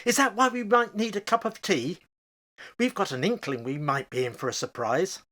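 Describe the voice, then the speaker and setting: A woman's voice: medium pitch, animated, normal speed, a bit bright. A woman playing a mild, homely working-class old husband and father in a radio play, speaking hesitantly. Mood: hopeful, hesitant.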